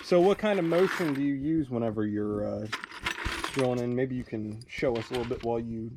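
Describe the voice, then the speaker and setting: Inquisitive male host over clear background noise. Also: mid-range voice, a little fast. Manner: inquisitive, encouraging. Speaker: host and interviewer filming the job. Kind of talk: casual chat, asking questions. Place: job site in an old building. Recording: noisy job-site camera audio.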